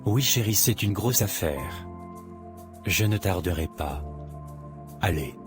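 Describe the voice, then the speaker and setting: A man's voice: low-pitched, expressive, takes it slowly. A man voicing a mild-mannered, evasive husband in scripted cartoon dialogue, speaking tersely over music. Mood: evasive, calm. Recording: clear music behind the voice.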